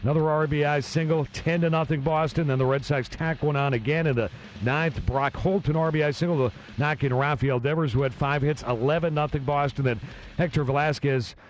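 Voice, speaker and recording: A man narrating a baseball game recap, steady and matter-of-fact between broadcast clips. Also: on the high side, a little fast, a little hiss or hum, rough recording. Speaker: recap host.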